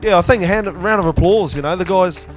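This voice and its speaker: An Australian man hosting a business event, chatty and upbeat as he prompts his guests. His voice sits a little high, with faint music in the room. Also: fast talker. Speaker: interviewer and event host. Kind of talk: casual interview. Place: restaurant function room with music playing.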